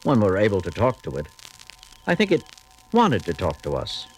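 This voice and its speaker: An actor playing a commanding, thoughtful starship captain in a 1970s audio drama, with clipped orders and earnest delivery, on a vintage record. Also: male voice, fairly low pitch, huge pitch swings, normal speed, faint music behind, rough recording. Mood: reflective, wistful.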